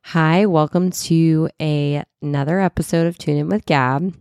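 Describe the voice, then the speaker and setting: Woman, thirties, low voice, stuffy and slightly raspy from a cold, casual rambling podcast talk, dry close mic. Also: steady tone, measured pace, a little mellow, no room sound. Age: 30s. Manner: chatty, many "like"s and self-corrections. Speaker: podcast host and wellness coach. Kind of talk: casual solo podcast chat.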